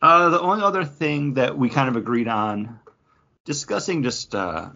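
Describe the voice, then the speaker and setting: A man's voice: mid-range voice, animated, normal speed, vocal fry, sharp tone, lo-fi sound. A man hosting a casual pop culture news chat in a conversational way, often acting out imagined quotes. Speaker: podcast host.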